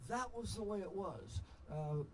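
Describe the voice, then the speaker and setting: An older American man telling stories live, rambling and halting, with impassioned digressions and salty language. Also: high voice, sing-song. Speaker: storyteller and speaker at a festival. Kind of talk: rambling live storytelling to an audience. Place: live storytelling session at a festival. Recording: noisy live event ambience.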